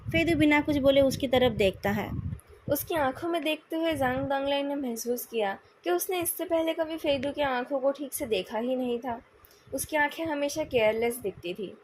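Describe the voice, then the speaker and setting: A woman's voice: high voice, moderate pace, darkish tone, slight background noise, poor audio. A woman with an Indian accent reading out scripted narration as the storyteller of a novel. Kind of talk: scripted narration of a novel, retold with acted dialogue.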